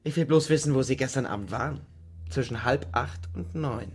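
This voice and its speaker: An actor playing a gruff, bullying police lieutenant who questions people curtly and sarcastically in a radio crime drama. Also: male voice, medium pitch, brisk, natural voice. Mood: sardonic, probing. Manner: curt, sarcastic interrogating.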